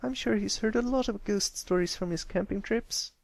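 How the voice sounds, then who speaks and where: A man voicing a sweet, excitable and slightly timid little pony filly in a storybook reading. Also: high voice, normal speed, gravelly, no echo, voice pushed up. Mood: encouraging.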